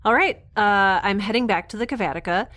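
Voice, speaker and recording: A woman's voice: mid-range voice, a little fast, fairly bright tone, natural voice. Casting: woman to act young female fugitive, sci-fi radio drama. Defiant, talkative, dry sarcasm, natural mid-range voice. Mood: relieved, brisk. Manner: chatty, sarcastic, rambling asides. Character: defiant, wry young female rebel specimen on the run. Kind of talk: science fiction audio drama acting.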